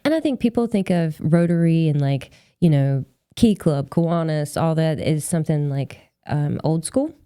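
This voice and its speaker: Female host, forties. Low, relaxed voice, very little room sound, informal and rambling conversational delivery. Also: normal speed, few pauses, clean tone, dry recording, studio quality. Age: 40s. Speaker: podcast host. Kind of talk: casual conversational interview.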